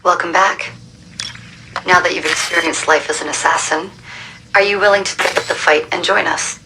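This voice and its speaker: An actress playing a confident resistance recruiter in a video game, briefing the player in a direct, persuasive, urgent way. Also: female voice, fairly low pitch, fairly even intonation, normal speed, bright, forceful tone, a little hiss or hum, low-quality recording.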